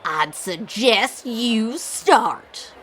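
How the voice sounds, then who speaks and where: A woman's voice: fairly high pitch, sing-song, extremely slow, fairly bright tone, soft background music, heavily acted. An actress playing a brash, taunting woman at a baking contest in an audio comedy.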